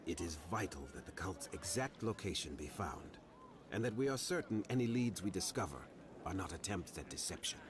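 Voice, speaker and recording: An actor playing a grave, formal man who gives out quests in a fantasy game, with measured, old-fashioned phrasing. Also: low voice, lively intonation, noisy background. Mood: grave, earnest. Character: grave, formal man directing a hunt for cultists. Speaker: quest-giving game character. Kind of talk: scripted fantasy game dialogue.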